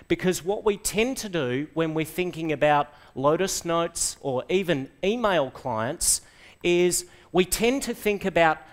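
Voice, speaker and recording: Upbeat Australian man presenting in a lecture hall. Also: fairly high pitch. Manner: enthusiastic, quizzes the audience, quick asides. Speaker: conference presenter. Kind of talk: lively tech presentation to a live audience. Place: lecture hall with seated audience.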